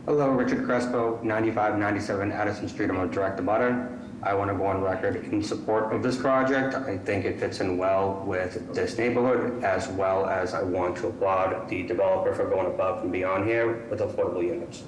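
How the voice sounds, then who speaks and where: A man's voice: sits low, steady tone, a little fast, slight background noise. A man giving direct, formal public comment as a neighbor. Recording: echoey call audio.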